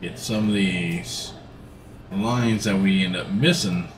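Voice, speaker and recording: Slow, easygoing man streaming. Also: low voice, a little hiss or hum. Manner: easygoing, rambling, talks while playing. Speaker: livestreamer. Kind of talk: casual gaming livestream commentary. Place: home room, seated in a gaming seat.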